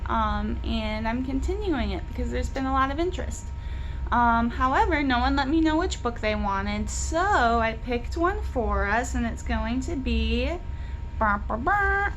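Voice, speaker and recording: A woman hosting a library book club, reading a children's storybook aloud with deliberate, animated emphasis. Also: on the high side, a little hiss or hum, low-quality recording.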